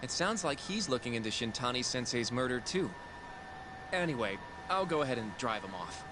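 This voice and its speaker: A man's voice: mid-range voice, sing-song, moderate pace, lightly acted. Actor playing an earnest young male sidekick, noisy background. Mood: modest, easygoing. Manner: polite, hesitant.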